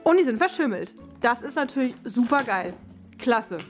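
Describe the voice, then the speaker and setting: High-voiced young woman, chatting casually. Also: very animated, normal speed. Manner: chatty, colloquial, thinking aloud. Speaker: dog owner and vlogger presenting an unboxing. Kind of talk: casual unscripted product review. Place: living room, indoors. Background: music in the background.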